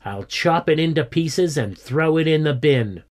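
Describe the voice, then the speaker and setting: A man's voice: mid-range voice, very animated, takes it slowly, voice pushed up. A man voicing a spiteful schoolgirl in a storybook, snappy and defiant. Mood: threatening.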